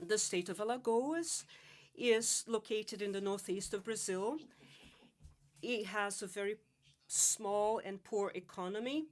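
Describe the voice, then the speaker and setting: Slow, measured woman interpreting. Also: medium pitch. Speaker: simultaneous interpreter. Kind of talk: live interpretation of a presentation.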